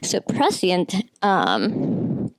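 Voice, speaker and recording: A woman's voice: fairly low pitch, huge pitch swings, extremely slow, creaky, clear, resonant tone, no echo, low-quality recording. A woman giving an informal, opinionated film critique in a rambling, conversational way with frequent asides. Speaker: video essayist, film critic. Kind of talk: informal film critique commentary, partly scripted.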